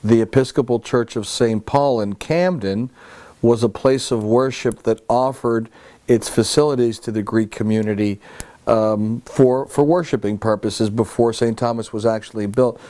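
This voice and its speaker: A man hosting an oral history interview in a guest's home, introducing the guest and asking measured questions. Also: mid-range voice, mellow. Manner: measured questions, some restarts.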